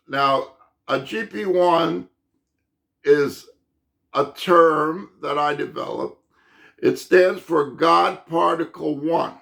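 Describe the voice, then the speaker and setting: A man hosting his own channel, laying out his physics theory in a halting, unscripted monologue with many restarts and repeated "okay?" checks, his voice going hoarse. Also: a little high, fairly bright tone. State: losing his voice.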